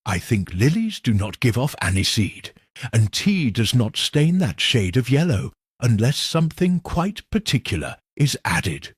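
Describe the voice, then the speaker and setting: Man playing old lady sleuth, mild, shrewd, composed, unhurried, lilting pitch, storybook mystery. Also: big pitch swings, breathy edge, dry recording, studio quality, exaggerated voice. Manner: measured, mild, knowing.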